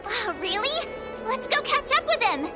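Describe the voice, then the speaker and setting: Chatty teenage girl, anime game heroine, very high voice, lively swooping intonation, bubbly. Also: unhurried, over music, full character voice. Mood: excited. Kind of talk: anime-style game voice acting.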